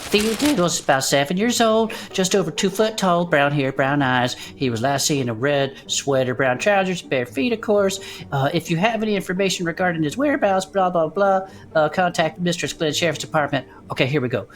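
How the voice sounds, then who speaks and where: An actor playing an excitable, folksy amateur investigator in an audio drama, talking in a colloquial, theatrical way. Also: mid-range voice, brisk, close to an everyday voice. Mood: reading aloud. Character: Bud, excitable folksy podcast sleuth, hobby investigator.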